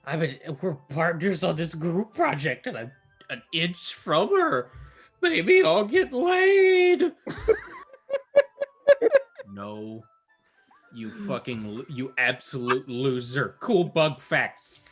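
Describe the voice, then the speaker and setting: A man's voice: a little high, big pitch swings, slow, pauses often, soft background music. A man on a gaming podcast, sardonic and swearing, often breaking into laughter. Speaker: let's play co-host. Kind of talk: casual podcast banter.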